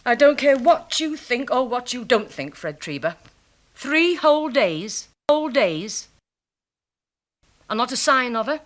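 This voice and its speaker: A woman's voice: on the high side, very animated, unhurried. A woman playing a nosy, nagging, self-righteous wife in a 1970s radio play, emphatic and melodramatic. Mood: indignant.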